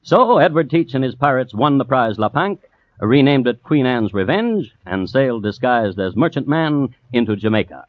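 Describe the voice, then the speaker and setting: A man's voice: mid-range voice, expressive, natural voice. Wry, theatrical radio crime narrator, a man. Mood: droll storytelling. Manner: droll, deliberate, theatrical. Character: wry, erudite male connoisseur of crime. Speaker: host and narrator. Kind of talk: scripted old-time radio narration. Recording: muffled vintage radio recording.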